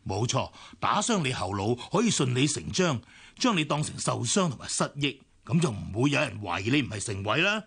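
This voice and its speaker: A gravelly, husky radio-drama villain with a Hong Kong Cantonese accent. He is cynical and sneering, and his pitch swings widely as he taunts. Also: very animated, a little fast, fairly bright tone, heavily acted. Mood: gloating, cynical. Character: cynical scheming male villain, gloating and ruthless.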